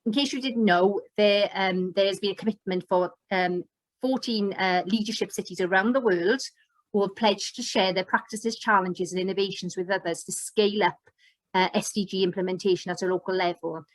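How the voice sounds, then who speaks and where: A woman's voice: mid-range voice, fairly even intonation, normal speed, no room sound, rough recording. A middle-aged woman with a Welsh accent talks teachers through slides on a video call, and her voice sits in the middle of the usual range for a woman. She is upbeat and a bit rambling, full of fillers and restarts. The webcam sound is close and dry. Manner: enthusiastic, loose with many fillers and restarts. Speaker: educator and presenter. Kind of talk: online course presentation over slides.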